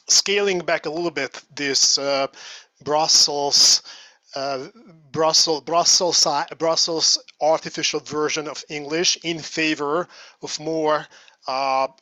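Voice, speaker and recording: Bright, dry-sounding man on a call. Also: on the high side, steady tone, slow, pauses often, no echo. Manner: earnest, argumentative, piles up figures. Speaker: seminar participant asking a question. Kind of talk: academic discussion on a video call. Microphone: video call.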